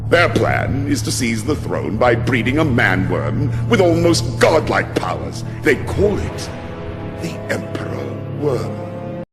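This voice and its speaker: A man's voice: mid-range voice, sing-song, slow pace, vocal fry, full character voice. Casting: dramatic, formal nobleman's advisor, game cutscene, devoted and florid, in a reverberant hall over music. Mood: grave. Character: theatrical, devoted male advisor to a nobleman, excitable. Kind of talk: scripted science fiction game cutscene acting.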